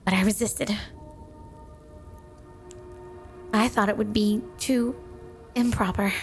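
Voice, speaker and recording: A woman's voice: medium pitch, fairly even intonation, normal speed, soft background music, close to an everyday voice. A woman playing a flirty, devoted, possessive snow woman wife in an intimate, sweet ASMR roleplay. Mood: playful, confessional.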